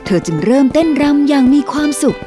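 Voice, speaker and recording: A woman narrating a children's fairy tale cartoon in a lively, expressive storytelling voice. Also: high voice, fast. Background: music.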